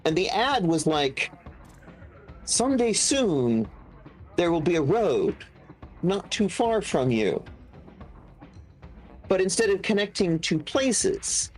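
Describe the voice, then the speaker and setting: Man, 40s, casual storyteller, slightly high voice, faint music behind. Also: takes it slowly, pauses often. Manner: chatty anecdotes, animated quotes. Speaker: podcast guest. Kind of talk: casual chat.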